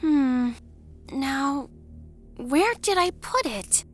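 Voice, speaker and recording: A woman playing a lovesick, obsessive teenage schoolgirl in an anime-style story, cooing in drawn-out phrases. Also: high voice, lively intonation, soft background music, heavily acted. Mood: dreamy, obsessive. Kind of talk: anime-style visual novel voice acting.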